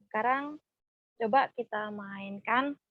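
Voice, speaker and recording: A woman, leading an informal group session in a halting, hesitant way. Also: fairly high pitch, no room sound. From Purworejo, Central Java, Indonesia. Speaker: group counseling facilitator. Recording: dull, muffled lo-fi sound.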